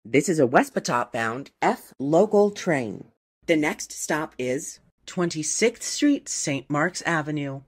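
A woman with a low voice reads formal transit announcements. Her delivery is slow and scripted, in separate pieced-together phrases, with strong swings in pitch. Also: animated, breathy edge. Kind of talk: scripted automated train station announcement.

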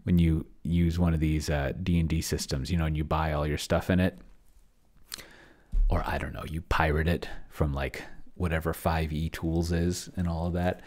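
A man's voice: a bass voice, level tone, moderate pace, darkish tone. A middle-aged man hosting a casual screen-share walkthrough, rambling and muttering asides to himself as he thinks aloud. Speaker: host of a solo roleplaying demo stream. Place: indoors at a desk, on webcam.

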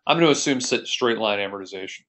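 A man's voice: lowish voice, expressive, fast, a bit bright. An American man giving an explanatory screencast lesson as an accounting instructor, explaining methodically and step by step.

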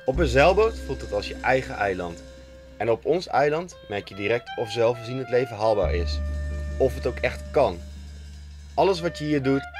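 A young Dutch man, a sailing skipper, reading a calm, matter-of-fact scripted voice-over. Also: medium pitch. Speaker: skipper narrating a sailing documentary. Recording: faint music under the voice.

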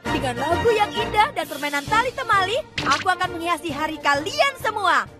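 A woman voicing a clumsy, excitable cartoon schoolboy who is boastful, whiny and easily flustered. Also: very high-pitched, a little fast. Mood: showy, boastful. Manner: whiny, exclamatory. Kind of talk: animated cartoon dub acting. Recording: music behind the voice.

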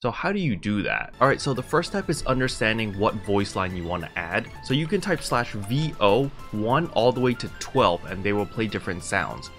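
A man's voice: on the low side, sing-song, moderate pace, few pauses. Young man giving a casual game tutorial, faint music. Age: young adult. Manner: explanatory, step by step, conversational. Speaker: video game tutorial host. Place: small room at home, indoors.

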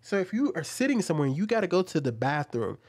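A man in his thirties hosting casual commentary at home into a studio mic, talking with animated, questioning emphasis. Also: high-pitched, quick pace. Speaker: commentary host. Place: small room at home, indoors. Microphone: studio mic on an arm, wearing headphones.

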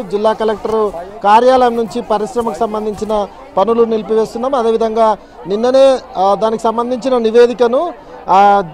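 Man, field reporter, high voice, outdoors on a road, handheld mic, faint crowd behind. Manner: steady reporting, many hesitation sounds. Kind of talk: live news report from a protest.

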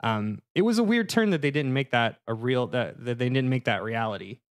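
A man's voice: medium pitch, lively intonation, a little fast. A man hosting a podcast discussion in a mock-serious, conversational way. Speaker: podcast host.

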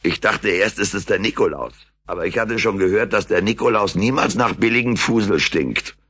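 A man voicing a cheeky, hungry furry alien in a German radio play, raspy and deadpan as he wisecracks. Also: lowish voice, normal speed. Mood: indignant, wry.

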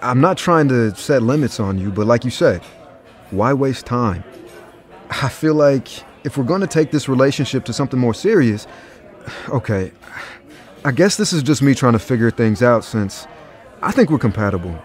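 A man acting as an easygoing scientist out courting in an audio drama. He is teasing yet earnest, with a mid-pitched voice and very lively melody. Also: normal speed, lightly acted. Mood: earnest, hesitant. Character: easygoing, flirtatious male scientist dating a woman.

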